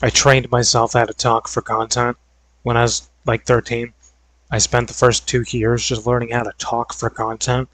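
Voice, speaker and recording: A man's voice: mid-range voice, level tone, moderate pace, a bit bright, no room sound, low-quality recording. Young man with a tiring voice. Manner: rambling, filler-heavy and hedging. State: voice going hoarse. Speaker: video creator. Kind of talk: casual gaming vlog chat.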